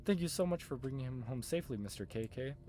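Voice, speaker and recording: A man's voice: mid-range voice, lively intonation, fast talker, warm tone, slight background noise, pitched above the performer's own voice, lightly acted. A man reading the lines of a friendly, polite, earnest young man from a visual novel. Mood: grateful. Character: friendly earnest young male client, loves his dog.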